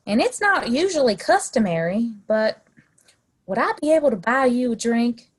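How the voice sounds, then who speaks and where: Woman playing a lively husky frontier townswoman. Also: on the high side, moderate pace. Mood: warm, earnest. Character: friendly, bold frontier townswoman, grateful and flirtatious. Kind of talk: audio play western dialogue.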